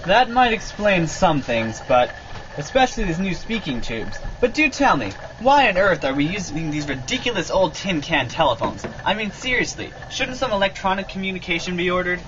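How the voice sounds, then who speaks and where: A man playing a pompous, gentlemanly figure with old-fashioned manners in an old-time radio drama. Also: sits high, sing-song, normal speed. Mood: puzzled, exasperated. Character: pompous, jovial company president and puzzled airship captain. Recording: muffled mic, clear background noise.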